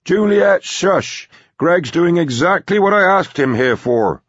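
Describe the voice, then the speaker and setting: A cantankerous old man, pitched far up and pushed loud. He is domineering, blunt and sardonic, an old tycoon who talks in emphatic bursts, in a dry, slightly dull recording. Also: animated, slow, slightly rough, no room sound, exaggerated voice. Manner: blunt, emphatic, mildly coarse.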